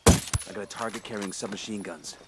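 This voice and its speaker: An actor playing a male squad soldier in a video game, giving short, clipped military callouts over loud gunfire. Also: fairly low pitch, steady tone, fairly quick, very noisy, lightly acted. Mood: terse. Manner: terse military radio callouts.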